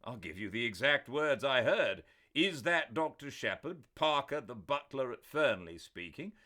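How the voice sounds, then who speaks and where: A man's voice: medium pitch, sing-song, a little slow, bright, forceful tone, voice pushed up. A man doing an urgent, agitated doctor. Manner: urgent, demanding, raised voice. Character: agitated middle-aged male country doctor.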